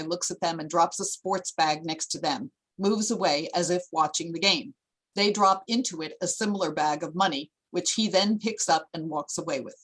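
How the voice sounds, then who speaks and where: A woman's voice: lowish voice, fairly bright tone, rough recording. A woman reading screenplay stage directions as the narrator of a table read.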